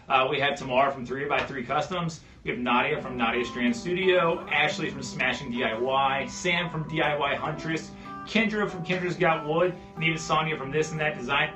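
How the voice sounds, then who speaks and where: A man's voice: fairly high pitch, brisk, roomy sound, over music, poor audio. A man giving a joking, upbeat introduction to a video. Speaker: guest host.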